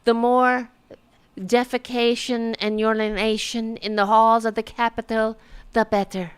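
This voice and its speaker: A woman's voice: a little high, little pitch movement, unhurried, clean tone. A woman hosting a podcast with casual political commentary, sarcastic, mocking and profane, often exasperated and repeating her demands. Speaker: podcast host.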